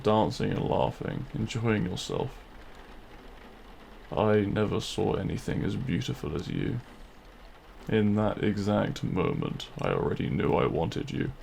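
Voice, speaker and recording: A man's voice: on the low side, creaky, a little mellow, slight background noise, exaggerated voice. A man playing a smug, possessive demon king in an audio roleplay, speaking to the listener in a silky, theatrical, courtly yet menacing manner.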